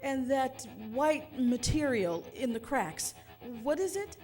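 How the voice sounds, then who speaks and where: An actress playing an agitated, imperious and fastidious mother in a futuristic radio drama, with a haughty, theatrical delivery. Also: high voice, sing-song, darkish tone, faint music behind, full character voice. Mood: curious, weary. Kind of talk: theatrical radio drama acting before a live audience.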